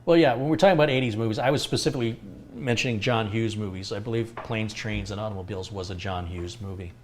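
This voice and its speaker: A middle-aged man hosting a live tech stream, answering viewer questions in a calm, unhurried, reflective way. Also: on the low side, a little hiss or hum. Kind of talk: casual live Q&A chat.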